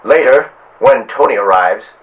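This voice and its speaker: A man hosting and narrating a pulp radio serial with portentous delivery and deliberate pauses. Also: mid-range voice, lively intonation, highs cut off, a little hiss or hum. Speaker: host and narrator.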